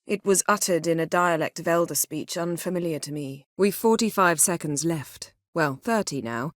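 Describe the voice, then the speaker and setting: An actress playing a proud, sharp-tongued sorceress in a fantasy video game, speaking in a haughty, sardonic, clipped and articulate way. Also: female voice, lowish voice, normal speed, dry recording, studio quality, close to an everyday voice. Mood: stern, urgent.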